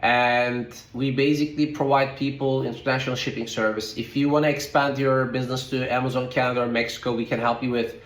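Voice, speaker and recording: A man's voice: medium pitch, level tone, brisk, few pauses, reverberant, low-quality recording. A man from Turkey, a guest in an interview, answering in loose phrasing with many "uh" fillers. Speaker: guest, logistics company founder.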